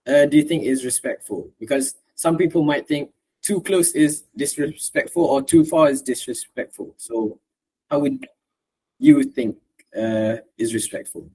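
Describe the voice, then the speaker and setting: A man's voice: medium pitch, darkish tone, husky, poor audio. A man asking interview questions in a casual way.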